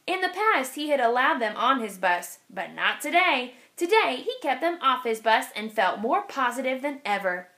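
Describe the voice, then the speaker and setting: High, animated woman reading a storybook. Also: normal speed, hardly any pauses. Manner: expressive, clearly phrased for children. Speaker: teacher reading aloud. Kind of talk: children's storybook read-aloud. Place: indoors, over an open picture book.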